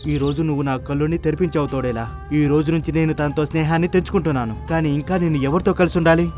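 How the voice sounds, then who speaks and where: Earnest, indignant cartoon bull, played by a man, higher-than-average voice, quiet music bed. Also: steady tone, rapid-fire, close to an everyday voice. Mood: hurt. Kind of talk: cartoon moral story voice acting.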